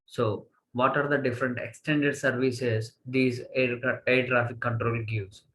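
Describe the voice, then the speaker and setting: A man with an Indian accent giving a college lecture, halting as he goes and repeating phrases for emphasis. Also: mid-range voice, little pitch movement, a bit bright, rough recording. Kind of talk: slide lecture, reading and explaining.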